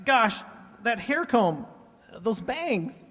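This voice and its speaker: An awestruck, gawky teenage boy for a radio comedy. The voice is extremely high and blurting, earnest and excitable, ringing in a reverberant hall. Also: drawn-out words, heavily acted. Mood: alarmed, excitable. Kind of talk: old-time radio comedy acting.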